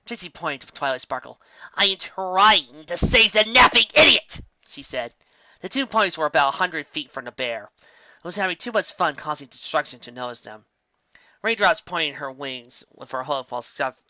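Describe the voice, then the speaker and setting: A man narrating a fan fiction story in a steady reading manner. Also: medium pitch, moderate pace, no echo. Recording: dry, dull lo-fi home recording.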